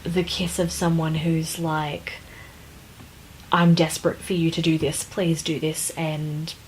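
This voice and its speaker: Woman podcast co-host, slightly low voice, hesitant and casual, faint background noise. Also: little pitch movement, slow pace, rough recording. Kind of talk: casual book discussion.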